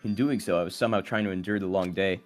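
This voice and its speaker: Guy playing a troubled teen boy hero from an anime game, lowish voice, earnest and moody, music behind. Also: steady tone, fast, natural voice. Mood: brooding. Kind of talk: anime game dub, dramatic inner monologue and confrontation.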